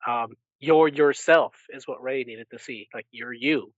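A man podcast host giving a casual recap in an animated storytelling way. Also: mid-range voice, measured pace, dry recording, muffled recording.

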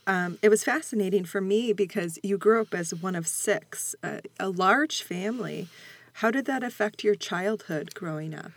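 A woman's voice: medium pitch, moderate pace, no room sound. Encouraging woman interviewer, dry studio-like sound. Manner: encouraging, attentive. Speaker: podcast host, interviewer. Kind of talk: conversational podcast interview.

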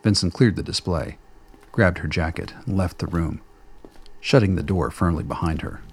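A man reading the narration of a radio play in a measured, wry storytelling manner. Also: deep voice, slightly rough. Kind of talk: scripted narration.

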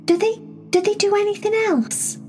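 A woman voicing a soft-spoken, dreamy young female princess cat in a storybook. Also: very high voice, normal speed, faint music behind, voice pushed up.

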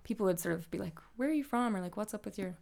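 A young woman from Ireland, a guest in a casual chat, with a hesitant manner full of fillers and soft laughs. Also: mid-range voice, animated, racing, gravelly, slight background noise.

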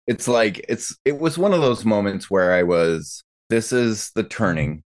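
Guy on a podcast, kinda low voice, earnest n informal, just chatting. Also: animated, unhurried. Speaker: podcast panelist. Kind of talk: casual chat.

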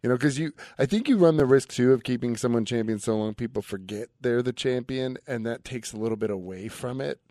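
A man's voice: mid-range voice, fairly quick, hardly any pauses, dark tone, no echo, studio quality. A man co-hosting a sports radio show, chatting casually in an agreeable, laid-back manner. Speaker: radio co-host.

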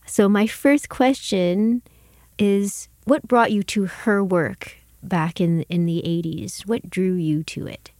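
A woman's voice: medium pitch, fairly even intonation, takes it slowly, a little mellow, dry recording. A woman curator speaking as an interviewer, articulate and earnest in her delivery. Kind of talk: scripted introduction and conversational interview.

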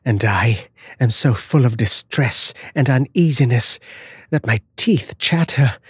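A man's voice: mid-range voice, expressive, measured pace, breathy edge, no echo, clean studio sound. A man voicing a terrified, guilt-ridden mother who cries out in anguish.

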